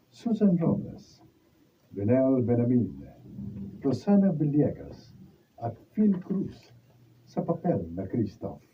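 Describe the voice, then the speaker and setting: A man delivers scripted radio drama narration slowly, with a very animated melody. He sounds measured and declamatory. The recording is slightly muffled, with faint noise. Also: mid-range voice. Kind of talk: scripted narration, closing credits. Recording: distant, muffled, faintly noisy.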